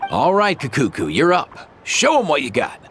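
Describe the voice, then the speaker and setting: A man's voice: on the high side, huge pitch swings, normal speed, a bit bright, a little hiss or hum. An actor playing a casual, brotherly young man in a video game, slangy and a little bashful. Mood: teasing, easygoing.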